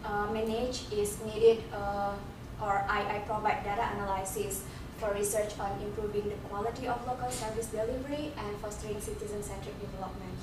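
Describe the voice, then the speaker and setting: A woman's voice: mid-range voice, fairly even intonation, brisk. A young woman from Indonesia giving a community presentation, hesitant with many ums and restarts. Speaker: presenter, international fellow. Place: community center meeting room. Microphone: far camera. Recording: echoey room from a far camera, noisy.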